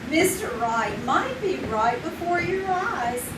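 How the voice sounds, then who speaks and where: A woman's voice: high voice, slow, heavily acted. A woman playing a dreamy teenage schoolgirl. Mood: chatty. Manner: bubbly, giggly, lively. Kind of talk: live old-time radio drama. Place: theater stage. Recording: roomy, distant live stage recording with noise.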